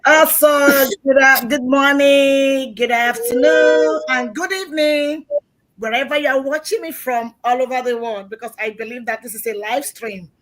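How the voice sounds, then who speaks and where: A Nigerian woman guest on a live video call, speaking in an effusive, lively way. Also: high-pitched, moderate pace, poor audio. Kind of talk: live stream group chat.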